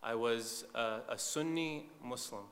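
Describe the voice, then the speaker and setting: A man's voice: mid-range voice, takes it slowly, fairly bright tone, a little hiss or hum. Man preaching in a long-reverb hall. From eastern Saudi Arabia. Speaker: visiting preacher. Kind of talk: sermon testimony in short phrases for an interpreter.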